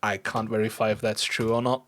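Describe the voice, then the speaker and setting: A man's voice: on the low side, little pitch movement, fairly quick, a bit bright, a little hiss or hum. A man from Germany with a German accent, chatting casually and in an easygoing, self-deprecating way as he codes on a livestream. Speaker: live coding streamer. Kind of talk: casual livestream chat while programming. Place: indoors at a computer desk.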